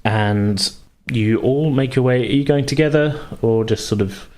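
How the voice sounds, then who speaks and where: A man's voice: medium pitch, unhurried, darkish tone. A man casually refereeing a tabletop game as game master, hesitant and easygoing as he thinks aloud.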